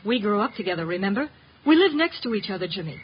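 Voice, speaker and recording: An actress playing an anxious, insistent young fiancée in a 1950s radio drama. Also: female voice, mid-range voice, very animated, quick pace, lightly acted. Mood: hurt, insistent. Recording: dull, noisy vintage radio recording.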